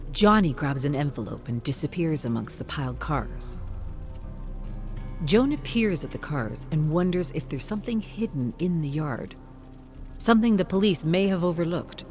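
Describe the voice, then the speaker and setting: Dramatic story narrator over music. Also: medium pitch. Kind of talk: dramatic scripted audiobook-style narration.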